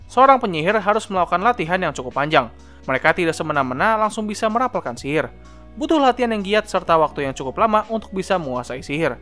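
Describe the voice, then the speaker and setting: A man's voice: high voice, fairly bright tone. A young man, narrating a scripted explainer as a video host with informal, chatty asides. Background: background music.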